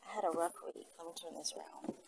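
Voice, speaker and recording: A woman chatting casually to her viewers in an animated, slangy, rambling way. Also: on the low side, racing, vocal fry. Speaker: video channel host. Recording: distant mic, loud vehicle-like background noise.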